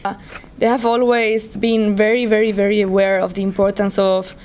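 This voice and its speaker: A woman's voice: mid-range voice, flat delivery, normal speed, a little mellow, slight background noise. Young woman from Spain, Spanish accent, hesitant student interviewee, mid-range voice. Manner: hesitant, with frequent "uh" fillers. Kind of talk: casual interview answers.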